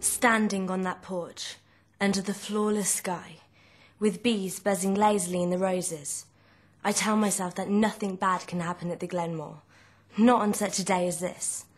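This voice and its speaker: Lady playing a nervous young servant girl telling her story, kinda husky and breathy, audiobook drama. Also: mid-range voice, fairly even intonation, unhurried, faint music behind, lightly acted. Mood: uneasy calm. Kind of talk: dramatic audiobook-style reading of a novel.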